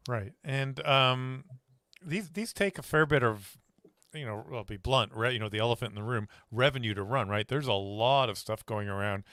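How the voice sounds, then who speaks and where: A man's voice: medium pitch, normal speed, a bit bright, no room sound. A man hosting an interview in a casual, conversational way. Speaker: interview host.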